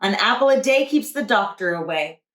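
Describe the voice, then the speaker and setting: A woman voicing a kindly, patient storybook grandfather with an easygoing, gently teasing manner. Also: medium pitch, expressive, moderate pace, close to an everyday voice. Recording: roomy echo.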